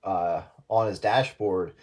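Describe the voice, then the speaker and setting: A man's voice: low voice, slow, darkish tone. An American man chatting casually and sharing short anecdotes. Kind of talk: casual chat.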